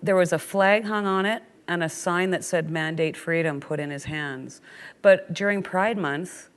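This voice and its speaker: A woman from Canada in middle age, with a somewhat low voice. She speaks sincerely and casually in conversation, with frequent "you know" fillers. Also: unhurried, clear, resonant tone. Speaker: guest. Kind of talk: conversational interview.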